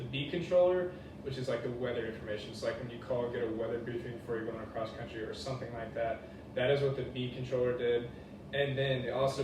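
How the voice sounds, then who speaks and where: A man's voice: medium pitch, noisy background. Distant young man in a very echoey room. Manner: casual, colloquial. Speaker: student presenter. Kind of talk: informal class presentation. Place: echoey indoor room, presenting to a class. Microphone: distant room microphone.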